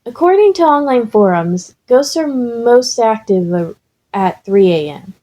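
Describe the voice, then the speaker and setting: A woman's voice: on the high side, lively intonation, measured pace, mellow, lo-fi sound, voice pushed up. A woman voicing a nervous, earnest, studious teenage boy in a story reading, fretful and precise.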